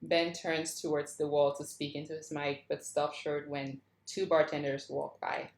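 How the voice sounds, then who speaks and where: A woman's voice: deep voice, little pitch movement, moderate pace. A woman narrator reading screenplay stage directions aloud. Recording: roomy, echoing sound.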